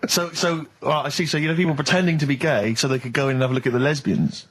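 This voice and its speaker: A man's voice: mid-range voice, fast talker, husky, poor audio. A man co-hosting a radio show in casual comic banter, asking questions with mock incredulity.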